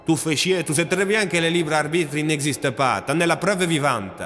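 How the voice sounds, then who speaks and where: A man's voice: fairly high pitch, normal speed, a bit bright, big-room sound, soft background music, voice pushed up, natural voice. A man voicing a chatty, familiar man who explains mysteries with some exasperation in an audio story. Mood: irritated.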